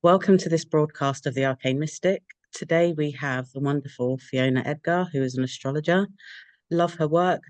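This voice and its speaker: A woman's voice: low voice, normal speed, darkish tone, no room sound. A woman hosting an interview podcast, who asks long, rambling questions with fillers. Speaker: podcast host.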